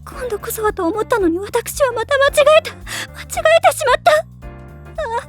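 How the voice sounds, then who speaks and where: High-pitched, prim anime rich girl. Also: animated, moderate pace, faint music behind. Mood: panicked despair. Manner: formal, refined ojou-sama way of speaking. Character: prim, proud teenage schoolgirl, self-blaming perfectionist with ladylike speech. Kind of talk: anime voice acting.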